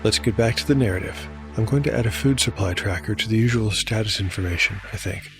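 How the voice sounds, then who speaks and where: A man's voice: lowish voice, fast talker. A man narrating and hosting a fantasy audio drama podcast, reading from a script. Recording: faint music underneath. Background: faint music.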